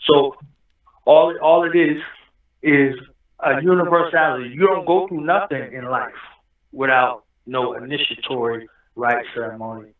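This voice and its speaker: A man's voice: mid-range voice, steady tone, normal speed. A man from Newark who grew up speaking Arabic, explaining things at length as a guest and often asking whether the listener understands. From Newark, New Jersey. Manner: explains at length, keeps asking "you understand what I'm saying". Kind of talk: casual interview talk. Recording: echoey, distant phone-line audio.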